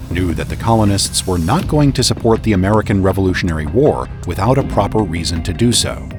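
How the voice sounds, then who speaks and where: Deep-voiced man, solemn dramatic narration. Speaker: podcast narrator and reader. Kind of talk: scripted narration and dramatic reading of a historical pamphlet.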